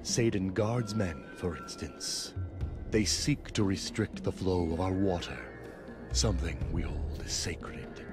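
Deep-voiced, solemn zealot of chaos. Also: male voice. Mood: solemn, persuasive. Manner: solemn, deliberate, formal and grandiose. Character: zealous armored male warrior cleric of chaos. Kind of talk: scripted video game dialogue. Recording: game music behind the voice. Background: video game music.

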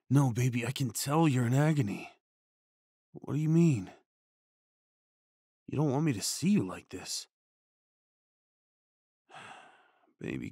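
A man plays a tender, worried boyfriend in an ASMR comfort roleplay. His voice is husky and hushed, and he takes his time with each soothing phrase. The recording is close and dry. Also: medium pitch, no echo. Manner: hushed, soothing, breathy sighs and shushing. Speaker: ASMR audio roleplay performer.

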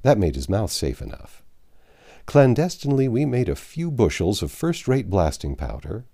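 An older man narrates a classic novel aloud. His voice is low and mellow, with lively, swooping intonation. He phrases it like a storyteller, pausing for dramatic effect. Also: creaky. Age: 50s or 60s. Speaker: audiobook narrator. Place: small home library, indoors.

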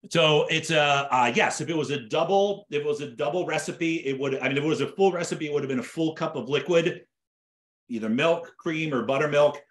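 A man's voice: fairly high pitch, a little fast. A man in his fifties giving a conversational live cooking demonstration from a home kitchen, explaining things by repeating and rephrasing. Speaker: chef teaching an online cooking class.

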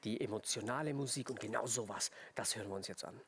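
A man presenting a live shopping pitch in an enthusiastic, chatty way, often seeking agreement. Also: fast talker, a bit bright, slight background noise. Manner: enthusiastic, chatty, seeks agreement with tag questions.

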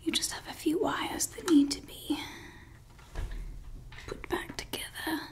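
A young woman voices a female evil scientist who dotes on her creation in close, breathy whispers. She speaks slowly and keeps her voice light and high. Every tender word carries a possessive edge. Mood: doting whisper. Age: young adult. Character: doting yet menacing female evil scientist. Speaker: ASMR creator. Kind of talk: ASMR roleplay. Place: indoors. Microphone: close studio mic.

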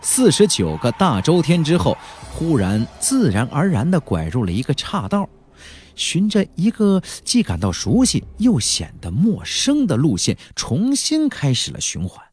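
Animated male storyteller, very wide pitch swings. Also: measured pace, breathy edge. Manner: colloquial, dramatic oral-storyteller delivery. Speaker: audiobook narrator. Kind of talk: expressive scripted storytelling narration.

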